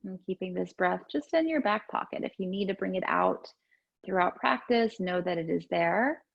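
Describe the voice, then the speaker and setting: A woman guides a gentle yoga and breathing practice in a fairly low voice with a lot of creak. Her delivery is soothing and unhurried, leaving space between instructions. The recording is a little dull and lo-fi. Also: lively intonation. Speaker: yoga and meditation teacher.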